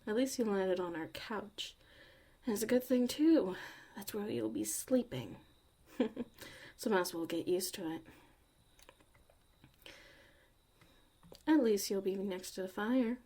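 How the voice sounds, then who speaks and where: A woman's voice: medium pitch, normal speed, warm tone, slightly rough, lightly acted. A woman acting a tough but caring witch mother in an ASMR roleplay, muttering and often dropping to a whisper. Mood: teasing, casual.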